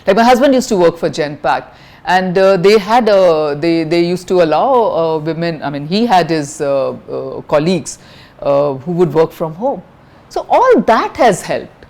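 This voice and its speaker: A middle-aged woman from India with an Indian English accent and a high-pitched voice, answering interview questions frankly, with lots of fillers and restarts. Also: very animated, a little slow, darkish tone. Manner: frank, many fillers and restarts, mixes in Hindi phrases.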